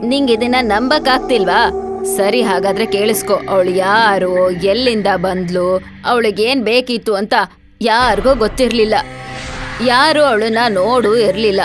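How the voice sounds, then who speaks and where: ನಟಿಯೊಬ್ಬಳು ಅಭಿನಯಿಸಿದ ನಿಗೂಢ ಕಥೆ ಹೇಳುವ ಢಾಬಾ ಒಡತಿ. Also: female voice, mid-range voice, unhurried, music in the background, close to an everyday voice. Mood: ominous. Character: motherly, ominous eatery woman telling ghost tales.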